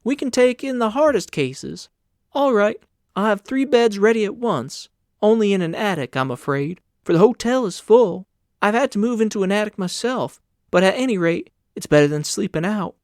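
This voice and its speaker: A man's voice: sits high, animated, normal speed, dry recording, clean studio sound, pitched above the performer's own voice. A man voicing a kindly, very fat, insistent woman who runs a hotel, coaxing in audiobook dialogue.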